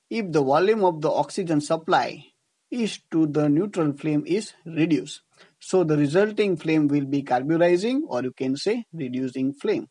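Indian guy teaching an engineering lesson, kinda high voice, reads it out then rewords it. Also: dark tone. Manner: didactic, repeats and rephrases points. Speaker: engineering tutor. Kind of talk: scripted lecture narration over slides.

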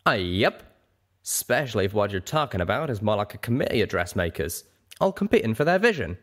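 A man's voice: lowish voice, very animated, a little fast, fairly bright tone. A man voicing a blunt, bitter male pony in a story reading, with a folksy, sardonic manner.

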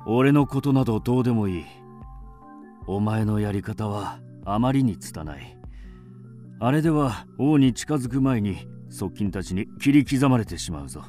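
Grim ancient swordsman, radio drama male actor: commanding, somewhat low, expressive. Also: moderate pace, natural voice. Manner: stern, commanding. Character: grim, resolute man: doomed swordsmith and mysterious avenger. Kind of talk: theatrical radio drama acting. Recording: music behind the voice.

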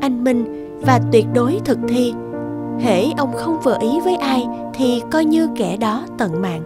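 High-voiced woman narrating a fairy tale. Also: expressive, unhurried, over loud music.

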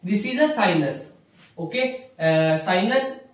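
A man's voice: sits high, lively intonation, slow pace. A young man with an Indian accent giving an explanatory whiteboard lecture, repeating key phrases as he teaches. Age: young adult. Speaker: physiotherapy lecturer. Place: small indoor room with whiteboard. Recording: very echoey room, distant mic.

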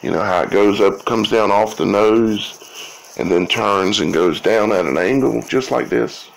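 A man giving an informal explanatory monologue in a halting way, repeating words and often checking in with "okay?" or "right?". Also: fairly low pitch, gravelly, poor audio. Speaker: video creator.

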